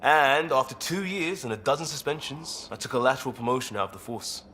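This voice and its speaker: A man's voice: medium pitch, lively intonation, brisk, bright, forceful tone, slight background noise. A man playing an easygoing, blunt former cop turned space rescuer in a video game, talking casually and candidly. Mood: nostalgic, easygoing. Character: easygoing, blunt ex-cop human crisis responder, male.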